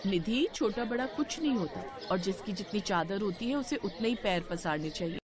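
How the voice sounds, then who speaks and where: A woman voicing a humble, principled elder daughter-in-law and patient mother in a cartoon story, gentle and earnest. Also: mid-range voice, lively intonation, normal speed, crowd noise, lightly acted. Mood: gentle, apologetic.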